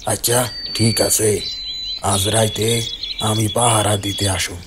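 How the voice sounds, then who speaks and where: Guy doing a stubborn village tapper for a cartoon, rural bangladeshi accent, slow talker, birds and noise behind. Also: on the low side, level tone. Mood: worried, determined. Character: village palm-sap tapper, man, bold and stubborn. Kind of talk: cartoon voice acting.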